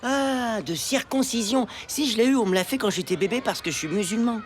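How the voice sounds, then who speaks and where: A woman voicing a matter-of-fact cartoon schoolboy who calmly explains things. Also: fairly high pitch, fairly quick, natural voice. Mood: explaining. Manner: plain, explaining. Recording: with music behind.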